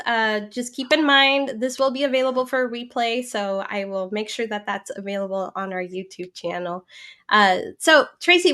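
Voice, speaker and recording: A woman's voice: high-pitched, bright, forceful tone. A woman hosting a casual live question-and-answer interview in a conversational way. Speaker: host.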